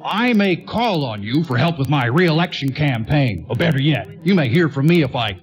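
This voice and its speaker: An actor playing a pompous, flattering mayor with a gravelly, oratorical delivery in a 1940s-style radio play. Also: male voice, medium pitch, moderate pace, soft background music, lightly acted. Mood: self-important.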